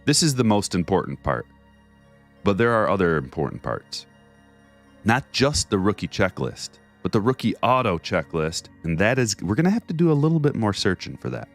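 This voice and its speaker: A man's voice: big pitch swings, normal speed, soft background music. A man hosts a casual livestream, chatting in a jokey, chatty way and reading viewer messages aloud. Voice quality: deep bass voice. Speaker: card break streamer.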